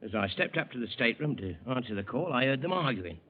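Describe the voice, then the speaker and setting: An actor playing a dutiful ship's steward in a 1940s radio drama, deferential and factual. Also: male voice, medium pitch, very animated, fast talker. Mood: earnest. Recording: tinny vintage radio broadcast.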